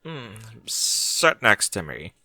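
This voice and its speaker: A man voicing a pushy, teasing female pony in a story, with drawn-out, commanding delivery and humming hesitations. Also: fairly low pitch, very animated, bright, forceful tone, no room sound, exaggerated voice. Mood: sultry, commanding. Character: brazen, pushy, lustful adult female pony.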